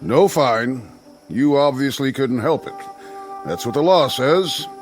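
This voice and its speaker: This is a skeptical town sheriff in a western radio play. His American voice is mid-range, dry and deliberate, yet quite varied in pitch. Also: lively intonation, soft background music. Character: skeptical, laconic Old West town sheriff. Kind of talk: radio drama acting.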